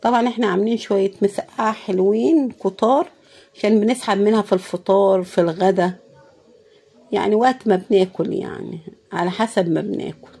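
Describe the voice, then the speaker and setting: A woman with an Egyptian Arabic accent and a hoarse, tired voice, chatting casually as she cooks. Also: mid-range voice, fairly quick, warm tone. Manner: tired, pious, often praising God. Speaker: cooking vlogger. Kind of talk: casual cooking commentary.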